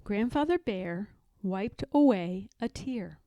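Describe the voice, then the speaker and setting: Female storyteller, children's read-aloud, expressive pitch, unhurried, gentle, close dry sound. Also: no echo. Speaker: storybook reader.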